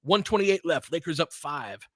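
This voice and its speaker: A man's voice: a little high, animated, normal speed, no echo, clean studio sound. A man hosting live basketball play-by-play in short, clipped phrases, openly cheering for his team. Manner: clipped, fragmentary play calls. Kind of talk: live fan-side play-by-play commentary.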